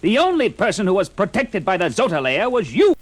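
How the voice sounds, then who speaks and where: A man's voice: high voice, huge pitch swings, brisk, full character voice. An actor playing a gloating, scheming mad-scientist villain in a theatrical superhero audio drama, taunting and drawing out his words. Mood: taunting, triumphant.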